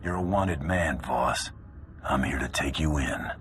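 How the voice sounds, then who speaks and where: An actor playing a curt, no-nonsense male bounty hunter with a deep, gravelly voice in a video game. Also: unhurried, a bit bright, soft background music. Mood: cold resolve. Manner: curt, cool, intimidating. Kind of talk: video game cutscene acting.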